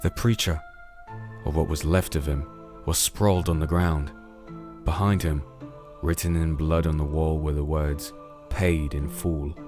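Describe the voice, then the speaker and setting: A man playing a calm, firm crusader in a fantasy audio drama, narrating and speaking in a measured, solemn way. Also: a bass voice, music in the background. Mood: solemn narration. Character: disenchanted male crusader, calm, firm sword-bearing investigator. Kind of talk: audio drama dialogue and first-person narration.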